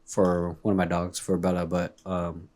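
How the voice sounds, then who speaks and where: A man co-hosting a casual sports podcast, laid-back and joking with quick quips, his voice a little hoarse from a recent illness. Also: low voice, completely flat delivery, darkish tone. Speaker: podcast co-host. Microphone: remote call recording.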